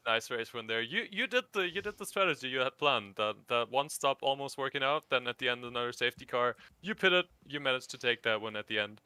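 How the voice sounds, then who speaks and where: A man's voice: mid-range voice, animated, fast talker, hardly any pauses, bright, forceful tone, smooth, no room sound. A man co-commentating a sim race stream, upbeat and stumbling over repeated words. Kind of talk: casual live-stream commentary.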